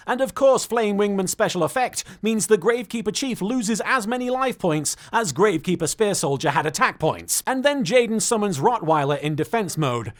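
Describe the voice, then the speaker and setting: A man hosting a comic recap, narrating from a script in a wry, sarcastic way. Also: high-pitched. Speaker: host of an anime recap series.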